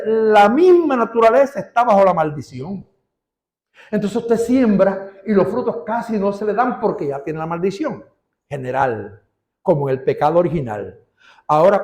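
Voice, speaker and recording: Preaching man, high voice, drawn-out emphatic words, echoey church room. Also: darkish tone. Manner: preaching, drawing out key words slowly and rising for emphasis. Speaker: pastor. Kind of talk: sermon.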